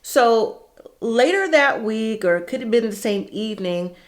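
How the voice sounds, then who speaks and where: A middle-aged woman telling a personal story casually and candidly, in a conversational way with dramatic pauses. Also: on the high side, animated.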